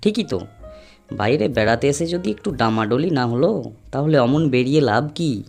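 A man acts out a young holidaymaker in an audio drama, chatty and teasing. His mid-pitched voice exclaims in everyday slang, with music faintly underneath. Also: animated, moderate pace, gravelly, a little mellow. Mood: casual.

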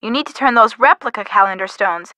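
A woman playing a sensible, gently chiding young friend in a video game, giving brisk, matter-of-fact instructions. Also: mid-range voice, no room sound, close to an everyday voice. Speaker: game hint-line character. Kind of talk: scripted video game dialogue.

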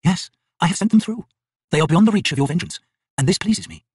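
A defiant, bitter shaman woman of dark fantasy, performed by a male narrator. The voice is raised high and slightly husky, speaking with proud, emphatic intensity. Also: lively intonation, fast talker, no echo, clean studio sound, full character voice. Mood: proud defiance.

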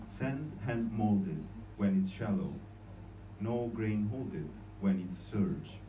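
A young man with a low voice reads poems slowly at an open mic in a small room. He is heard from far back in the audience. The room echoes, and steady noise runs under him. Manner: hesitant, many fillers when explaining; slow, measured recitation. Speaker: poetry reader. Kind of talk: poetry reading at an open mic. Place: small cozy room with string lights, indoors. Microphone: handheld mic, filmed from far in the audience. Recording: echoey room recorded from afar, noisy.

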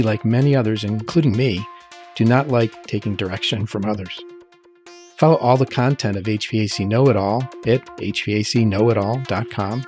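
Man hosting a podcast over faint music. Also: on the low side. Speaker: podcast host. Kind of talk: podcast intro and casual interview.

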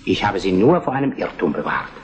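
An actor playing a proud, forceful Prussian count and statesman in a historical German radio drama, speaking formally and declaiming. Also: low-pitched, very animated, a little fast, lo-fi sound. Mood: firm, controlled. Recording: roomy, echoing drama recording.

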